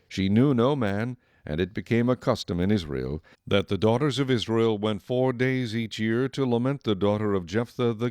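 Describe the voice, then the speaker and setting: Low-pitched male Bible narrator. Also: a little mellow, dry recording, studio quality.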